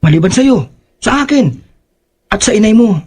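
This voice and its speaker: A man's voice: sits high, sing-song, normal speed, darkish tone, exaggerated voice. A man playing a young man, a loyal but easily rattled friend, in a horror audio drama, speaking in a casual conversational way. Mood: reassuring. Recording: clear background noise.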